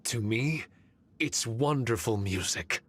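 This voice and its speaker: A solemn guardian spirit from a video game. The medium-pitched voice is formal and courteous, each phrase measured. Some noise runs behind it. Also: male voice, expressive, a bit bright. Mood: grateful, gentle.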